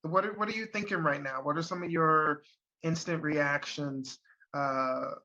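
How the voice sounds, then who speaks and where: Halting man hosting an online panel. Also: a little high, pushed and bright. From Chicago. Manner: halting, many fillers and restarts. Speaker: panel facilitator. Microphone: video call. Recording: dull lo-fi video call audio.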